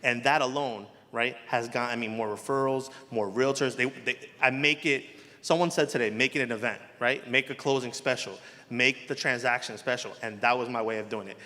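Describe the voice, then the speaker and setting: A man's voice: medium pitch, fairly quick, pushed and bright. Man with a heavy New Jersey accent. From Elizabeth, New Jersey. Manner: chatty, self-deprecating, repeats himself. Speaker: mortgage lender, panelist. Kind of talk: casual panel talk. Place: conference hall. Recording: echoey hall.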